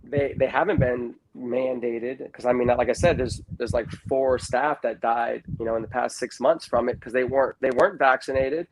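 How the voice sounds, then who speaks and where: A man's voice: medium pitch, fairly even intonation, normal speed, few pauses. A man in his early fifties talking casually and informally as a candidate in an interview, with emphatic repetition. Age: early 50s. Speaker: school board candidate being interviewed. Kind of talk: casual, unscripted interview talk. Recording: clearly noisy recording with clicks.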